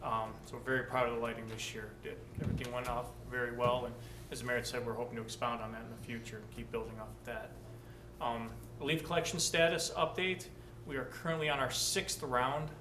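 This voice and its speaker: A man's voice: medium pitch, little pitch movement, fast. A man giving an upbeat department director's report at a council meeting. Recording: distant mic in echoey chamber with noise.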